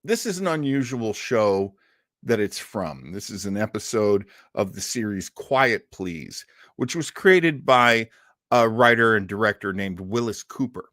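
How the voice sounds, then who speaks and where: A man's voice: fairly low pitch, lively intonation, unhurried, a bit bright, no echo, studio quality. A man hosting an old-time radio show, chatting casually and enthusiastically to his audience in a rambling, conversational way. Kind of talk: casual chat to a live audience.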